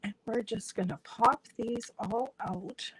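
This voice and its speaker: A woman's voice: a little high, slow pace, noisy background. A woman who is losing her voice gives a chatty, step-by-step craft tutorial at her worktable, talking to viewers as she works. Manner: chatty, talks to viewers while working with her hands. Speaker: independent stamping demonstrator. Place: at a craft table.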